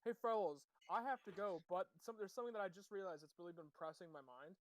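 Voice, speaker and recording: A man voice actor chatting on a stream, playful and jokey, halting with ums. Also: high-pitched, low-quality recording. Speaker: voice actor guest. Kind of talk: casual chat.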